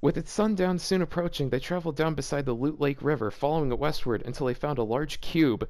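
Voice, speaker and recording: A man's voice: a little high, fast talker, darkish tone. A man reading fan fiction aloud as a YouTuber, breaking off into casual, exasperated and baffled commentary.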